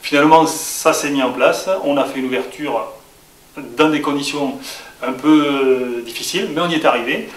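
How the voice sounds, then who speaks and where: A man's voice: mid-range voice, moderate pace, recorded from a distance. Man interviewed in an echoey room. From southwest France. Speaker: franchise shop owner interviewee. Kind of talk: interview answers. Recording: echoey room, off-mic, faint hiss.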